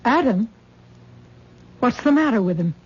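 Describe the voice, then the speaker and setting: A fretful mother in middle age, in an old radio play. Her voice is slightly husky, and she talks in a coaxing, worried way. The broadcast sounds dull and muffled. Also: on the high side, lively intonation, a little slow. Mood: anxious. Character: worried mother of grown daughter Tessa. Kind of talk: 1970s radio drama acting.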